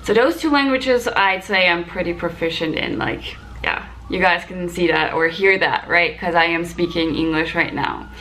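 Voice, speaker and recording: Lower-pitched young woman, native German speaker. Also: normal speed, few pauses, pushed and bright, poor audio. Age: 20s. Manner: chatty, informal, explanatory. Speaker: vlogger, flight attendant. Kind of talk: casual Q&A vlog to camera. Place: small hotel room, indoors. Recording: clear background room noise.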